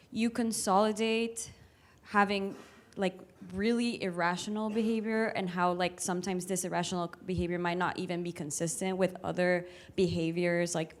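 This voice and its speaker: Audience member, woman, lowish voice, hesitant question. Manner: tentative, with many fillers. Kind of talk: asking a question.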